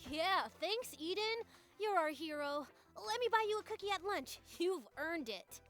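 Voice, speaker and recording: A woman's voice: very high voice, lively intonation, unhurried, a bit bright, full character voice. A woman voicing a friendly young classmate in a visual novel, chatty and casual. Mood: admiring. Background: faint music.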